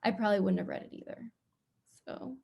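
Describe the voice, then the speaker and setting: A middle-aged woman TV producer speaking casually as a panelist, with blunt opinions and animated, comic exaggeration. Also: medium pitch, brisk, creaky, muffled recording.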